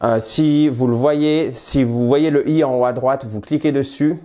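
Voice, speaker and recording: A man teaching English phrases in French, with a head cold, lively and friendly, slowing down to model the English. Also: medium pitch. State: has a cold, slightly nasal voice. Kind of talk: bilingual language lesson at a whiteboard.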